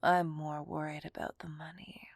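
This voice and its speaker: Breathy, whispering seductive villainess, acted by a woman. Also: sits low, level tone, dry recording. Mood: sly, confiding. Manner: teasing, dominant, drawn-out delivery. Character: seductive, possessive female villain toying with hostage. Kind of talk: whispered ASMR roleplay. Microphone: close microphone, dry sound.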